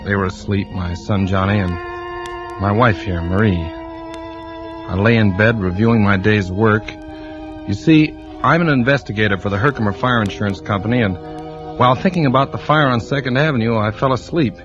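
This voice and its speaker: An actor playing a troubled married insurance investigator haltingly telling his story in 1940s radio suspense style. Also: on the low side, natural voice. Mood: uneasy, brooding. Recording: muffled old radio recording, music underneath.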